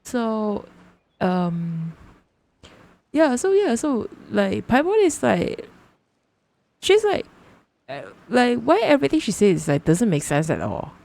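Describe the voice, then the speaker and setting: A woman's voice: fairly high pitch, wildly swooping pitch, takes it slowly, vocal fry, long pauses, darkish tone. A woman live-streaming a game, reacting casually and thinking aloud in a repetitive way.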